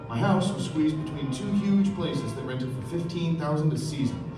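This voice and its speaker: A man playing a reflective, well-bred young narrator of the 1920s in a measured, literary stage delivery. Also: on the high side, natural voice. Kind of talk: scripted first-person stage narration. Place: stage of a small theatre. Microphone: far camera. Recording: echoey theatre from far off, music behind.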